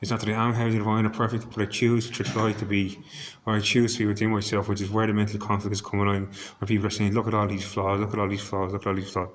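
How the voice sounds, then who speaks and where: Hoarse, husky Irish man talking fast. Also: on the low side, monotone, slight background noise. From Ireland. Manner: stumbling, repeats words while searching for them. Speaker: vlogger. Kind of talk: rambling monologue to camera.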